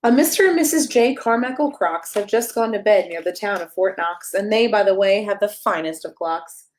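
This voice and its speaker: A woman's voice: medium pitch, moderate pace, a little mellow. A woman reading a rhyming children's picture book aloud as a storyteller, in a playful, sing-song way with emphasis on the rhymes.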